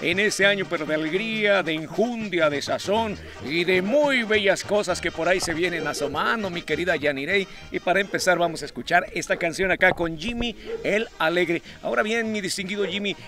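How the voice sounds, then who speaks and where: A man's voice: high-pitched, moderate pace, few pauses, music in the background. A man hosting outdoors with a handheld mic, joking and chatting in a playful, wordy, folksy way. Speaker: host. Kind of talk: upbeat show hosting with jokes. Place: outdoor fairground patio.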